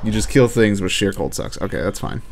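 A man's voice: on the low side, animated, fairly quick, gravelly. A man doing casual let's play commentary and chatting with viewers in a wry, mock-exasperated way. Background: faint game music.

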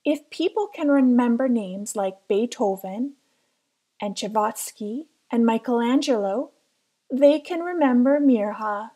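A woman reading a children's picture book aloud as careful, expressive storytelling. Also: a little high, measured pace. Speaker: storybook reader.

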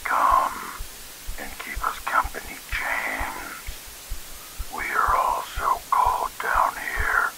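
Guttural, whispering demon on a radio. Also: male voice, bright, forceful tone, heavily acted, low-quality recording. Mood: sinister luring menace. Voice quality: deep, harsh, strained, guttural rasp. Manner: slow, whispery, menacingly beckoning. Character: demonic possessed boss, guttural, sinister, luring prey. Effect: static-laden handheld radio. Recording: hissy static over radio voice.

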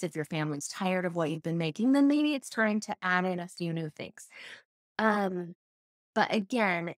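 A woman in her thirties hosting a casual podcast from a home office, talking in a chatty, expressive way. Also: mid-range voice, no echo, clean studio sound. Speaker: podcast host. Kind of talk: casual solo podcast advice.

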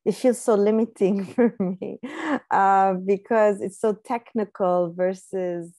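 A woman whose first language is Arabic, speaking as a panelist on a video call, reflective and pausing often with fillers. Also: medium pitch, steady tone, warm tone, no room sound, clean studio sound. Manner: reflective, pauses with many fillers.

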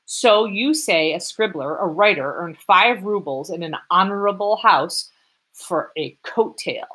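A woman's voice: lowish voice, animated, measured pace, poor audio. A woman playing a bullying police lieutenant. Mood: angry scolding. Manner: shouting, scolding. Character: hot-tempered bullying male police lieutenant.

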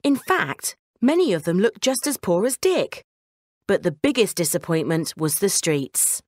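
Animated woman reading a bedtime story. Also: mid-range voice, measured pace, no room sound, clean studio sound. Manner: expressive storytelling. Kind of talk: scripted children's bedtime story narration.

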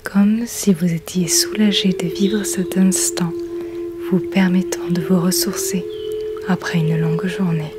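Female relaxation guide, soothing ASMR whisper, very unhurried, for guided sleep hypnosis over clearly heard flute music. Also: fairly low pitch, fairly even intonation. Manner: whispered, breathy, soothing delivery.

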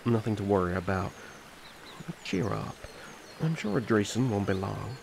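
Man voicing a cocky, flirtatious elf prince, low-voiced. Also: lively intonation, moderate pace, a little mellow, slight background noise. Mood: casual, coaxing. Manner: smooth-talking, teasing, boastful. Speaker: voice actor. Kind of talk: ASMR fantasy audio roleplay.

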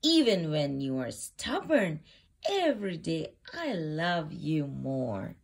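A woman reads a children's storybook aloud in a fairly low voice. Her delivery is very animated and unhurried, shaping each phrase deliberately for small listeners. She sounds like a host presenting a picture book. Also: gravelly.

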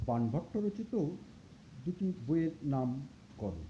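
A teacher reading out and explaining exam questions in short, deliberate phrases with pauses. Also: mid-range voice, sing-song. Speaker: teacher going through an exam paper. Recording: muffled lo-fi recording with clear background noise.

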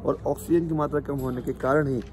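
A man's voice: medium pitch, steady tone, a little fast, noisy background. A man answering a vlogger's questions outdoors beside a mountain road, earnestly advising travellers to come prepared. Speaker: travel companion. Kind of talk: casual interview answers.

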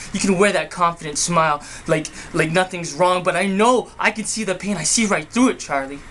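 A man acting a hurt younger brother in an emotional dramatic monologue, speaking in a halting, emotionally raw way. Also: fairly high pitch, fairly bright tone, a little hiss or hum. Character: hurt younger brother pleading with self-centered older brother.

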